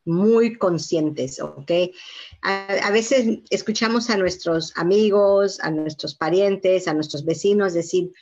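A woman's voice: fairly low pitch, slow pace, a little mellow. A woman speaking as a guest consultant on a podcast panel over a video call, direct and explanatory. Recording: dull lo-fi video call audio.

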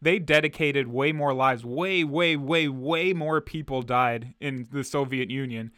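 A man's voice: mid-range voice, a little slow, a bit bright, clean tone, no room sound, clean studio sound. A man co-hosting a casual political podcast, earnest and explanatory, filling his sentences with loose "like"s. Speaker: podcast co-host.

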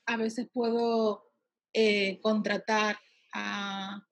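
A woman from the United States answers interview questions in a husky voice with little pitch movement. She speaks haltingly, often stretching pauses to find the next word. The sound is dry and close. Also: level tone, no echo. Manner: halting, searching for words with drawn-out pauses. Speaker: interviewee, salon worker and empowerment advocate for girls.

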